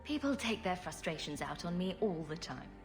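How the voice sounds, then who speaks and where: An actress playing a calm, direct and compassionate adventurer heroine in a video game. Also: female voice, on the low side, moderate pace, soft background music. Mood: concerned. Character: composed, compassionate adventurer heroine, mistress of the house.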